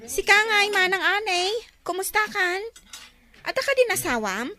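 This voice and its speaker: A woman's voice: very high-pitched, sing-song, moderate pace, bright, forceful tone, full character voice. A woman playing a chatty, teasing mother at a family gathering in an Ilocano radio drama, with a Filipino Ilocano accent. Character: chatty female relative with children, teasing.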